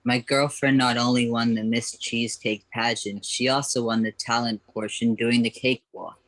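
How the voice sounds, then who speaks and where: A man's voice: medium pitch, little pitch movement, moderate pace, fairly bright tone, no room sound, close to an everyday voice, poor audio. A man playing a hammy, pun-loving diner customer in an amateur comic radio sketch. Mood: droll, theatrical.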